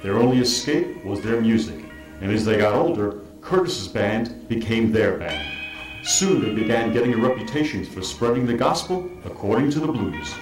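Echoey low-voiced male narrator over guitar. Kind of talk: scripted narration. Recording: echoey, music behind. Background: guitar music.

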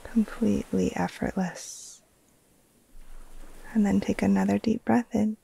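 A woman guiding a relaxation meditation in a soft, breathy, soothing voice that often trails into a near-whisper, with long pauses between short phrases. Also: mid-range voice, little pitch movement, poor audio. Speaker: yoga nidra teacher, meditation guide.

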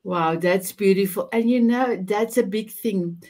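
A woman's voice: medium pitch, unhurried, a little mellow. Effusive woman hosting an online show. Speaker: show host. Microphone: video call.

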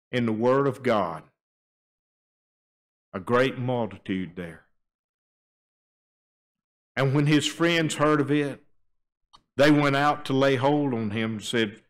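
An older man preaching a sermon at a church pulpit, moving between quiet, low stretches and raised, emphatic appeals. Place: church sanctuary, standing at a wooden pulpit. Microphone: pulpit microphone, filmed by a fixed camera.

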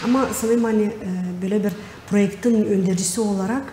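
A middle-aged Gagauz-accented woman hosts a talk show in a mellow, medium-pitched voice. She pauses often to find her words. The studio sounds reverberant. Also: steady tone. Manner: hesitant, searching for words. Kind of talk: studio interview. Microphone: lapel. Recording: roomy, reverberant studio with faint noise.

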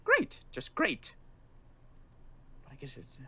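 A man acts out a weary survivor of a zombie apocalypse in a game roleplay. He is guarded and sarcastic, and his halting lines swing widely in pitch. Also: wildly swooping pitch, pushed and bright, breathy edge, full character voice. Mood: exasperated, sarcastic. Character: weary, gruff, wary male zombie-apocalypse survivor with a gun. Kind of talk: improvised Minecraft roleplay.

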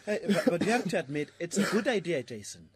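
Man acting a husky, cheeky schoolboy schemer. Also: a little high, sing-song, fast talker, dry recording, heavily acted. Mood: gleeful, scheming. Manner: urgent, needling. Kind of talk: audio drama acting.

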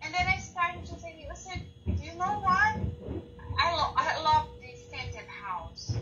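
A woman from Brazil who speaks English as a second language, guesting on a video call. She talks slowly and haltingly, often restarting her words, in a high, bright voice. The sound is distant and roomy, with faint noise underneath. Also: expressive, highs cut off. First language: Portuguese. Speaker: guest, business owner. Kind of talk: telling her story in an interview.

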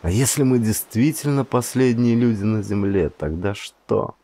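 A man narrating first-person fiction as an audiobook actor, dramatic, brooding and expressive. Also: on the low side, moderate pace.

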